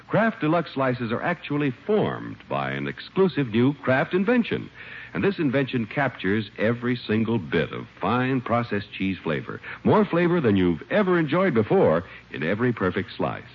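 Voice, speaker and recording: A man's voice: mid-range voice, wildly swooping pitch, moderate pace, breathy edge. A man announcing in 1950s radio style, reading advertising copy and narration with emphatic, polished salesmanship. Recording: muffled old radio recording.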